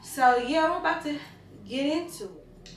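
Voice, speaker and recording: A woman in her thirties hosting a casual chat, animated and slangy, laughing often. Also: sits high, a little slow, slight background noise. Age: 30s. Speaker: host. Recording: roomy sound from a distance with music.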